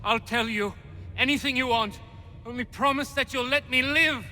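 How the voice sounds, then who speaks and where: An actor playing a treacherous, cowardly colonial villain in a video game, theatrical in delivery. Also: male voice, very high voice, moderate pace, pushed and bright, soft background music, heavily acted. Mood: fearful, pleading. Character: sneering, high-strung male colonial villain, treacherous and cowardly. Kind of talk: video game cutscene acting.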